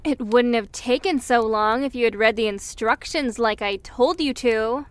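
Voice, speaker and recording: A woman's voice: a little high, moderate pace, smooth, dry recording, close to an everyday voice. A woman playing a sensible, caring young friend in an audio roleplay, teasing the others in a dry, wry manner. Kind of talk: interactive audio roleplay acting.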